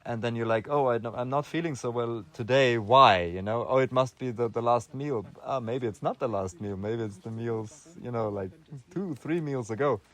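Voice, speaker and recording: A man's voice: medium pitch, normal speed, few pauses, a bit bright. Thoughtful young man from Austria. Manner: thoughtful, many restarts and fillers. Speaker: interview guest. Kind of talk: casual interview answers.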